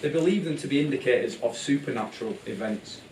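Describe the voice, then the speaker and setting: A man's voice: medium pitch, quick pace, darkish tone. A man narrating a live puppet show in a comic, theatrical style, playful and exclamatory. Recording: very noisy, roomy, distant live bootleg.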